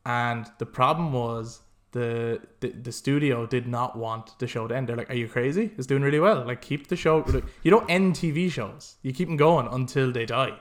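Man, podcast host, animated, opinionated, rambling conversational delivery, mid-pitched voice. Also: normal speed, hardly any pauses. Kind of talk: casual podcast chat.

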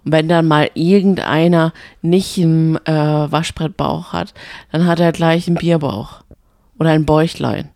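A woman's voice: low-pitched, steady tone, normal speed, vocal fry, a little mellow, no room sound. A woman co-hosting a podcast, chatting casually about reality TV. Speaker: podcast co-host. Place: hotel room.

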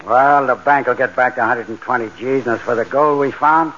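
An actor playing a grim bank robber in a 1940s radio drama, with a bitter, wry way of talking. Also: male voice, medium pitch, brisk, a bit bright, close to an everyday voice. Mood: grim, resigned. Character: grim, sardonic bank robber, adult man. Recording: noisy, dull old radio recording.

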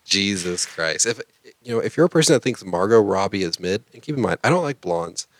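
A man with a very deep voice talks casually as a podcast co-host. He is opinionated and blunt, swearing freely as he goes. The sound is dry and close. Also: lively intonation, fairly quick, dry recording. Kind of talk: casual anime podcast banter.

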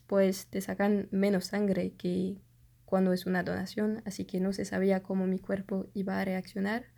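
A woman's voice: mid-range voice, dark tone. A young woman whose first language is French, telling a personal story in a casual, hesitant way, often searching for words. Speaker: vlogger.

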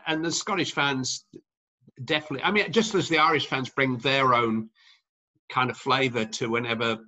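A man's voice: medium pitch, moderate pace, sharp tone, poor audio. A middle-aged man, a sports commentator, giving long, rambling interview answers full of restarts and fillers.

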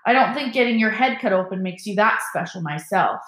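A woman's voice: mid-range voice, animated, fairly quick, fairly bright tone, echoey room, natural voice. A woman voicing a spoiled schoolboy bully in a storybook, with scathing, mocking, sneering taunts. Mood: sneering, smug.

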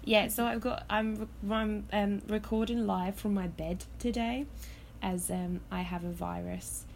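A woman hosting a podcast, chatting casually from her bed with a hoarse voice from illness. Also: medium pitch, steady tone, unhurried, a little mellow, a little hiss or hum. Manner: chatty, laughs often. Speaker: podcast host. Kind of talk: casual chat. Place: in bed at home.

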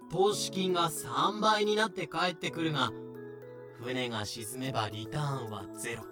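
A man narrating a fan-made voice drama in a measured, explanatory way. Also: fairly high pitch.

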